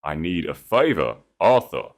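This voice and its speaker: A man's voice: low-pitched, very animated, unhurried. A man voicing a proud, wounded wife and heiress in an audiobook, speaking with restraint and control. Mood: cold, commanding. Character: wounded wife turned cold, commanding heiress.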